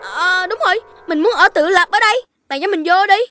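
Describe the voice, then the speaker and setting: A chatty, stubborn young cartoon child, with a very high-pitched southern Vietnamese voice. The manner is childlike and bold, and music plays clearly behind it. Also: female voice, animated, brisk. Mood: eager.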